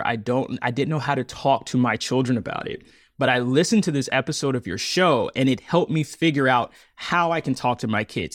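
Earnest man, lively, dry close sound. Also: dry recording. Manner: earnest, animated, laughs often, voice jumps high when amused. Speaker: podcast guest, audio storyteller. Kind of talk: reflective interview talk.

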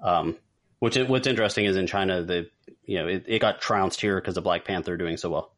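Male podcast host, deep voice, casual moderator who explains and recaps in a measured manner. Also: a bit bright. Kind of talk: casual talk show moderating.

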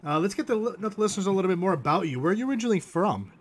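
A man's voice: high-pitched, lively intonation, racing, slightly rough. A man hosting a casual podcast interview who asks short, direct questions. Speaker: podcast host.

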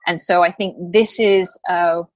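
Lower-voiced woman, dry close sound. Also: steady tone, a little slow, no echo. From Australia. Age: late 30s. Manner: hesitant, many fillers and restarts. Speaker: guest, health coach. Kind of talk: podcast interview.

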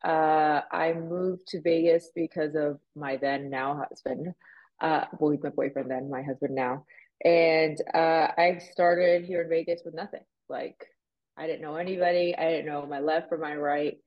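Chatty woman guest, low voice. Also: a little slow, lo-fi sound. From Puerto Rico, raised in Miami. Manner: chatty, animated, many fillers like "like" and "you know". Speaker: real estate agent, guest. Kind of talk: casual interview storytelling.

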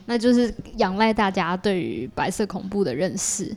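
A woman speaks with a Taiwanese Mandarin accent at an average pitch. She talks as a podcast guest, explaining in an informal, chatty way and readily repeating words of agreement. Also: big pitch swings, moderate pace, a little mellow. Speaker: podcast guest, exhibition project creator. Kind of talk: casual podcast interview.

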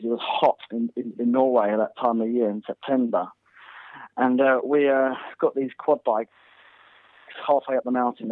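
A man's voice: medium pitch, no echo. Man from North Wales on a phone line. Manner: rambling with many restarts. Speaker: guest hunter and filmmaker. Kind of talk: casual interview chat.

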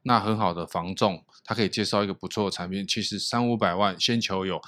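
Brief: male podcast host, low voice, dry close sound, easygoing opinions. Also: fast talker, no echo. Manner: asks questions and offers opinions in relaxed talk. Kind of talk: casual conversational interview.

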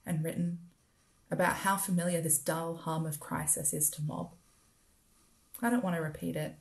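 Australian woman, spoken-word writer, lowish voice with heavy fry, measured literary read. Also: low-quality recording. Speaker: writer performing own work.

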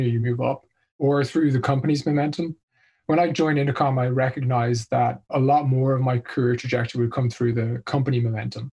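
A man's voice: mid-range voice, dark tone, slightly rough. A man from Ireland answering interview questions as a senior marketing executive, in a conversational, explanatory way.

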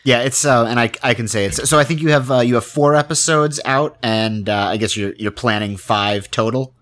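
A man hosting a casual podcast interview, speaking in a halting, hesitant way as he introduces things and asks questions. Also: medium pitch, fairly bright tone.

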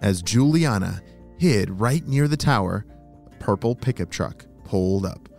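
Highly animated male kids' storyteller. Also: a little slow, creaky, music in the background. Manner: exclamatory, addresses young listeners directly. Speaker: children's story podcast host and narrator. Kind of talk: animated scripted story narration for kids.

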